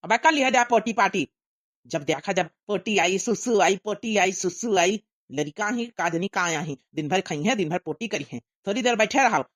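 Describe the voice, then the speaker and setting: An exasperated parent in a village cartoon, acted by a woman, grumbling and scolding. The accent is Awadhi. The voice sits at a middle pitch with a very dry sound. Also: expressive, normal speed, no room sound, close to an everyday voice. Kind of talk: cartoon comedy dialogue.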